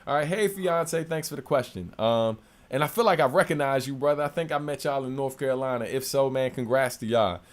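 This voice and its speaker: Deep-voiced man chatting casually. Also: fairly quick. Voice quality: deep low voice. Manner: relaxed, conversational, many fillers. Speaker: rapper and producer hosting a livestream. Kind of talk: casual livestream Q&A. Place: home studio.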